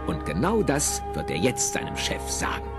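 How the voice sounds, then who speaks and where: A man's voice: fairly low pitch, wildly swooping pitch, moderate pace, gravelly. A man narrating an audio play from a script in the present tense. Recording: music and crowd behind narration. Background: music and crowd.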